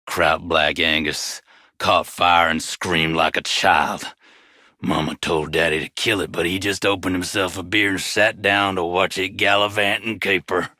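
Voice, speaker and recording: A man's voice: low voice, steady tone, a little slow, bright, forceful tone, dry recording, clean studio sound. An actor playing a sadistic, self-righteous Southern lawman in a video game, with a folksy, preachy, menacing way of talking and a foul mouth. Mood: smug, folksy menace. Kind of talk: villain monologue voice lines for a game.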